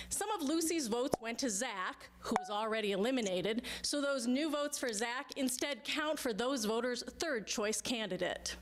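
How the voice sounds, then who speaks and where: Higher-pitched woman narrating an explainer. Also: noisy background. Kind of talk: scripted instructional narration. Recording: music behind the voice. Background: background music.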